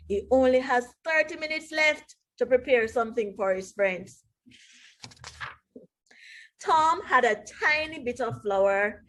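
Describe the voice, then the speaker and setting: Female primary read-aloud teacher: high voice, unhurried pace, expressive, emphatic, engages young listeners directly. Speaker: teacher reading aloud to children.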